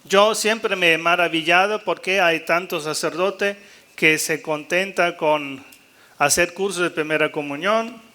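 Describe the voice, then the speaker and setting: High-pitched, bright-voiced male priest preaching. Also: measured pace. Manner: jokey and animated, mimicking doubtful priests, rallying listeners. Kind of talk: live sermon-style talk to a gathered congregation.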